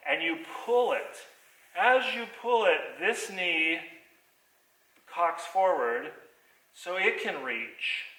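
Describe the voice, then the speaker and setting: Bright-voiced man teaching in an echoey theater. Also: fairly high pitch. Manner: explains movements step by step. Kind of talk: hands-on demonstration lesson. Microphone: distant computer microphone on a video call. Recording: echoey theater, voice far from microphone.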